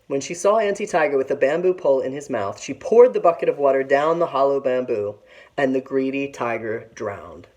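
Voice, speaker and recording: A woman teacher reading a children's story aloud in an expressive narrating style. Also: rumbling low, brisk.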